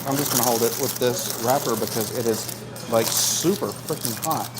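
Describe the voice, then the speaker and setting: A man's voice: mid-range voice, normal speed, rough recording. A man chatting casually to a livestream while he eats, talking between bites with savoring hums. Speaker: livestream host. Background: music.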